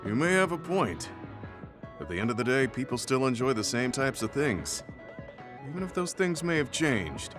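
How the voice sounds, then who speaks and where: An actor playing a reserved, old-fashioned veteran soldier in a video game, speaking in a measured, formal, serious manner. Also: male voice, medium pitch, sing-song, close to an everyday voice. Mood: reserved, mildly pleased. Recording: background music over dialogue.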